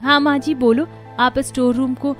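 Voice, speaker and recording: A woman's voice: high voice, fairly even intonation, normal speed, faint music behind, natural voice. A woman voicing a put-upon, dutiful young daughter-in-law in a cartoon, polite and explaining.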